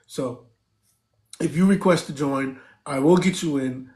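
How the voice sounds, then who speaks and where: A man's voice: a little high, unhurried, a little mellow. A man hosting a live stream, chatting casually, thanking viewers and stopping often with fillers.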